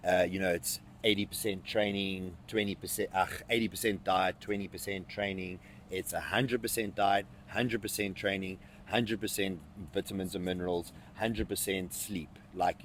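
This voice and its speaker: A man's voice: deep voice, a little hiss or hum. Emphatic man in his thirties. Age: 30s. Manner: emphatic, repeats words while thinking. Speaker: fitness coach. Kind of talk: casual interview answers.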